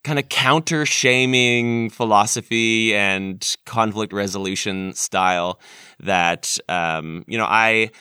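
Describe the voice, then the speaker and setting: Male podcast host, crisp bright voice, casual and reflective, close dry sound. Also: lowish voice, slow pace, no echo. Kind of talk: casual, reflective interview chat.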